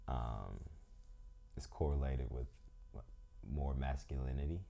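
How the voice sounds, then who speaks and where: Very deep, dark-voiced croaky man. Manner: slow, reflective, thinks aloud. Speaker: yoga instructor. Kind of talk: calm guided yoga instruction with musing asides.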